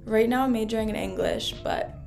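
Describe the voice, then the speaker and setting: Thoughtful young woman with fry. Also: on the high side, wildly swooping pitch, fairly quick, a little mellow, faint music behind. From New Jersey. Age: young adult. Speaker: college freshman. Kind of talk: casual testimonial.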